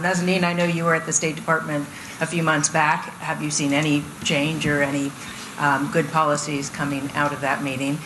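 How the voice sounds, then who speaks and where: A woman with a low voice raises her voice to be heard from the back of the audience. She asks the panel a pointed question. The hall rings around her, with a clear wash of room noise behind. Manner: speaks loudly from far back. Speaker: radio journalist asking a question. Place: large conference hall audience. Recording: roomy hall, distant voice, clear background noise.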